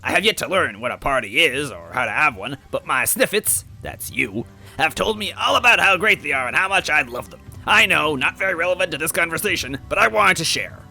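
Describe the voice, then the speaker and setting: A man voicing a gruff, dopey, bearded stout man who is loud, excitable and childishly earnest about partying. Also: on the high side, brisk, faint music behind, voice pushed up, exaggerated voice, poor audio. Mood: chatty, enthusiastic.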